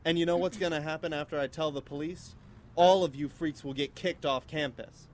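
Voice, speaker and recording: A man's voice: a little high, steady tone, brisk, a little hiss or hum, close to an everyday voice. A man acting a menacing, jealous college rival in a live student radio play, taunting and threatening. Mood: spiteful. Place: small college radio studio.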